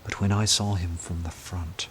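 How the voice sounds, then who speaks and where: An actor playing an earnest, awestruck detective who narrates a radio drama in an intense, dramatic manner. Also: male voice, sits low, flat delivery, normal speed, a little hiss or hum, lightly acted. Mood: awed. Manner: intense, dramatic, hushed at times.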